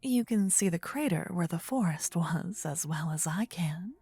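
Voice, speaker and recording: A woman playing a possessive, tender demon queen in a fantasy ASMR roleplay, with a soft breathy whisper and an intimate, regal, coaxing manner. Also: fairly low pitch, animated, unhurried, dry recording, heavily acted. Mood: evasive, soothing.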